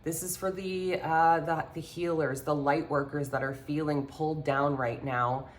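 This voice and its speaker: Woman with a very low voice, congested and slightly hoarse, rambling spiritual talk, echoey room. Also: steady tone, measured pace. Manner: rambling, earnest, many fillers. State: congested, losing her voice. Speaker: spiritual card reader. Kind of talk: casual spiritual talk to viewers. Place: home room with altar. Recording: echoey room, faint ambience.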